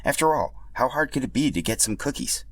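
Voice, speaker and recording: A man's voice: medium pitch, expressive, a little fast, a bit bright. A man narrating a scripted story as a podcast storyteller.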